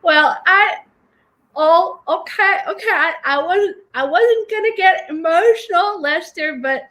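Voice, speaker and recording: A melodramatic grandmother who keeps choking up as she gushes about family and faith, played by a woman. Her voice sits very high and bright. She drags every word out slowly. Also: low-quality recording. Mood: weepy gushing. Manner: tearful, gushing, melodramatic. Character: weepy gushing churchgoing grandmother woman. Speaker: comedy show guest.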